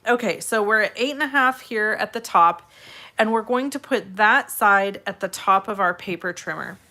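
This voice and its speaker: A woman with a slightly raspy, congested voice hosts a casual step-by-step craft tutorial, addressing viewers in a friendly, direct way. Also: medium pitch, moderate pace, pushed and bright. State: congested, irritated throat from allergies.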